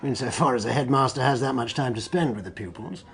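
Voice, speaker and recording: An actor playing a precise, slightly defensive school headmaster in a radio drama. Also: male voice, mid-range voice, quick pace, a bit bright, natural voice. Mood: measured.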